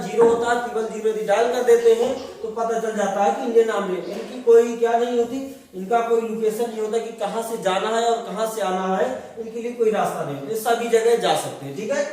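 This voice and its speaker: A man's voice: very high voice, fairly even intonation, fairly quick, few pauses, darkish tone. A man teaching a class, explaining step by step and asking and answering his own questions. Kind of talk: classroom lecture. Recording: distant, very echoey room.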